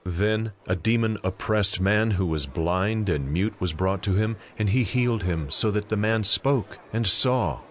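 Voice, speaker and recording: A man narrating a dramatized scripture reading in a measured, solemn way. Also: fairly low pitch.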